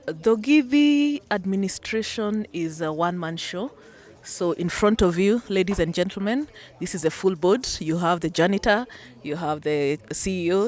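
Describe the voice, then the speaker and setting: A woman from Kenya with a Kenyan accent, a business founder answering interview questions conversationally. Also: medium pitch. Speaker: business founder and executive director, interview guest.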